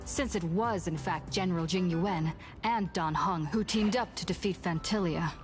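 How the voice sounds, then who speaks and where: A woman's voice: lowish voice, normal speed, natural voice. A woman voicing a modest, polite and composed young woman in a video game. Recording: faint game music behind.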